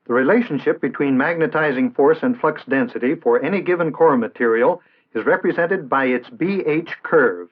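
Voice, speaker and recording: An American man reading scripted instructional narration in a measured, formal, didactic way, in the style of an early 1960s training film. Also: fairly high pitch, husky. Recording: tinny band-limited vintage 1960s film soundtrack.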